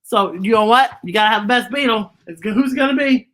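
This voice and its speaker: A woman's voice: on the high side, fast talker, fairly bright tone. A woman hosting a children's story time, reading a picture book playfully and exclaiming directly to young listeners. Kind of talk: animated children's picture-book read-aloud.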